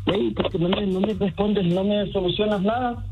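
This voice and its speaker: A man's voice: high voice, steady tone, normal speed, creaky, faint music behind. A man from Venezuela taking a prank phone call, dry and unbothered, firing wisecracks back. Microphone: phone line.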